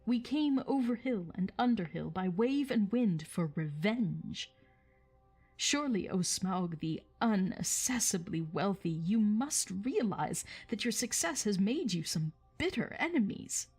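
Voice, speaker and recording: A woman's voice: mid-range voice, animated, measured pace, darkish tone, husky, soft background music, pitched above the performer's own voice. A woman voicing a small, nervous male hobbit who tries to sound brave, in a raised, earnest tone. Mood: defiant.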